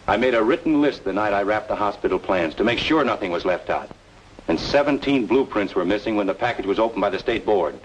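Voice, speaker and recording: A man's voice: on the low side, animated, fairly quick, a little hiss or hum, natural voice, rough recording. An actor playing a wronged, obsessive younger man, speaking with insistent intensity in an old-time radio noir drama. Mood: accusing. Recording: old radio recording, faint music.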